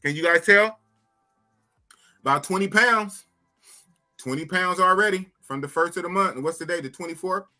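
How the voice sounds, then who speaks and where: A man's voice: high voice, moderate pace, long pauses, faint music behind. A man in his fifties hosting a live podcast, chatting loosely with his viewers and repeating himself. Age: 50s. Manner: animated, talks to chat, repeats phrases. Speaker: podcast host. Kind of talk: casual live-stream chat.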